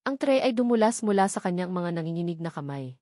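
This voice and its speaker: A woman narrating a scripted romance story, reading in a steady, dramatic way. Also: lowish voice, a little fast, no echo, clean studio sound.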